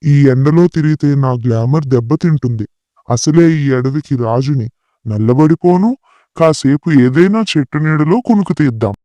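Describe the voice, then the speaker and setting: A man voicing a vain, boastful lion king in an animated children's story, pompous and bullying. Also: fairly high pitch, normal speed, dry recording, highs cut off, close to an everyday voice. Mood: smug, drowsy. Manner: pompous, threatening. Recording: music behind the voice.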